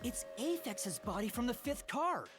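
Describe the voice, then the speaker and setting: Earnest, lively boy detective. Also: fairly high pitch, moderate pace. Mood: determined. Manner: earnest, reasoning aloud. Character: earnest, hesitant young male trainee detective. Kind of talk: video game voice acting. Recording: game music behind.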